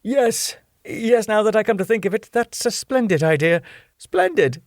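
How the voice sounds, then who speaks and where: A man's voice: high voice, lively intonation, normal speed, no room sound, clean studio sound, pitched above the performer's own voice. A man voicing a sarcastic, pompous middle-aged club owner. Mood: strained.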